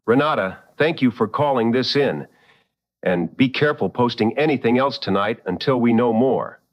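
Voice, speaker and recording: An actor playing a seasoned, protective late-night radio host in a drama, with a firm, wry and deliberate delivery. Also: male voice, mid-range voice, expressive, no echo, muffled recording, lightly acted. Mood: wry, attentive.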